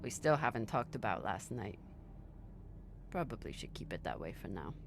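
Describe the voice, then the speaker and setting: A woman's voice: very deep voice, very animated, moderate pace, creaky, distant mic, noisy background, heavily acted. A woman playing a tough, terse spaceship officer in a video game cutscene. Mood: wary, subdued. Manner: terse, commanding. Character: tough, guarded female spaceship first officer, Belter scavenger.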